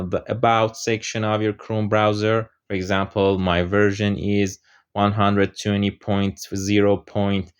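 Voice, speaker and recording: Low-voiced man explaining software, Persian native. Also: clean tone. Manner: steady explaining with frequent "uh" fillers. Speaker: software tutorial presenter. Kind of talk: screen-recorded software walkthrough.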